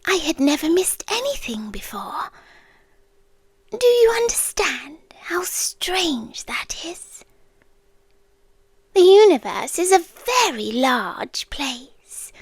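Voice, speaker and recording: A British woman voicing an ancient, lovestruck, obsessive end-of-the-world entity in a hushed, intimate ASMR roleplay, with deliberate pauses, close to the microphone. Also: sits high, dry recording, exaggerated voice. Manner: slow, hushed, deliberate delivery with long pauses. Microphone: close, dry studio microphone.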